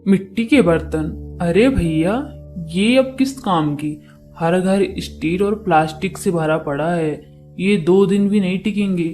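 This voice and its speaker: Woman as scornful villager, dismissive, lowish pitch, cartoon folk tale, music behind. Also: measured pace, mellow, close to an everyday voice. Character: scornful villager dismissing a potter's old-fashioned wares.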